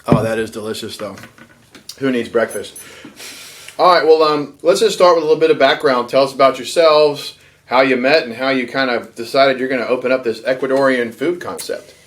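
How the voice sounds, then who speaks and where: Male host, relaxed joking interviewer, mid-range voice. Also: medium pitch, fairly quick. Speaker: podcast host. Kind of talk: casual interview chat.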